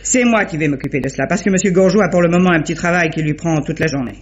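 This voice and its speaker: An actress playing a shrewd, practical woman sleuth, brisk and matter-of-fact, in a mid-century French radio mystery style. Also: fairly low pitch, lightly acted. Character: shrewd, practical, quick-witted woman sleuth helping an inspector. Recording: dull vintage radio drama recording.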